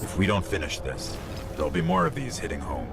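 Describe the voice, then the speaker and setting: A small robot scout from a sci-fi game, inquisitive and wry. The voice sits low and swings widely in pitch, with deadpan asides. Loud music plays behind it. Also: male voice, fairly quick, creaky, full character voice. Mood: grave, awed. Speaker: guide companion. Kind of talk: cinematic video game voice acting.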